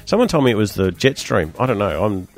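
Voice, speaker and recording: A fast-talking man hosting a tech radio show, his voice on the low side and hoarse and croaky. He rambles along in a casual, animated way, and the studio sound is dry and close. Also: dry recording, soft background music.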